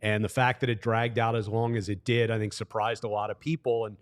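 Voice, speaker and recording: A man's voice: fairly low pitch, level tone, brisk, clear, resonant tone. A man who works as a sports broadcaster, telling stories casually as a podcast guest. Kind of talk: casual interview storytelling.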